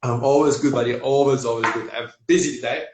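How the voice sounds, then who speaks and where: A man with a Serbian accent, speaking as an energetic guest in a casual live-stream interview, with non-native grammar and repetition. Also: mid-range voice, quick pace, noisy background. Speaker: business guest. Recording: echoey room.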